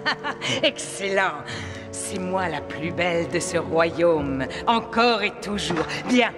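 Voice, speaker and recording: A woman voicing a vain, cruel queen in an animated fairy tale, haughty and commanding. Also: on the low side, slow, music in the background. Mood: gloating. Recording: long echoing hall reverb.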